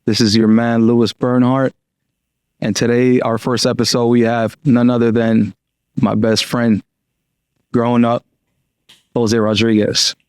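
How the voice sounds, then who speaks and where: A man's voice: mid-range voice, little pitch movement, a little slow, pauses often, clear, resonant tone, dry recording, clean studio sound. A man hosting a podcast, asking long, rambling questions full of fillers. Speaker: podcast host. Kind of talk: casual podcast interviewing.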